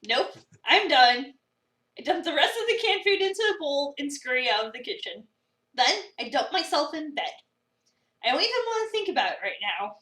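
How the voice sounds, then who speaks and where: Woman playing high, animated, exasperated young cafe worker. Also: moderate pace, exaggerated voice. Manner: sarcastic, dramatic, incredulous. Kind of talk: dramatic reading of visual novel narration. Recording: roomy, slightly distant mic.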